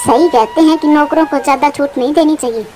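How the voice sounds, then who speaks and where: A woman's voice: sits high, a little fast, natural voice. A woman voicing a stern, haughty eagle mistress in a cartoon, scolding in an imperious manner. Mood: irritated, haughty. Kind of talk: Hindi moral-story cartoon dialogue.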